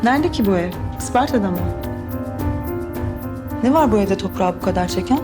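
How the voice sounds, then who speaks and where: An actress plays a young woman, inquisitive and uneasy, in a television drama. Her medium-pitched voice sounds natural over clear background music. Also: lively intonation, normal speed, natural voice. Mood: puzzled, uneasy. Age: young adult. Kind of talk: TV drama acting, naturalistic dialogue.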